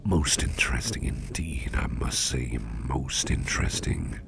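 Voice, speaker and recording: A man voicing a mischievous boss with sharp teeth in an ASMR roleplay, talking in a soft, teasing half-whisper. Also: rumbling low, measured pace, creaky, background noise, exaggerated voice. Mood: playful.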